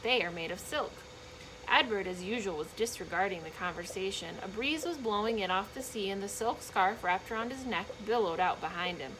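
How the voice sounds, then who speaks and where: A woman reading a children's book aloud in an expressive, emphatic storytelling manner, with short explanatory asides for young listeners. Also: medium pitch, a little fast, few pauses, noisy background.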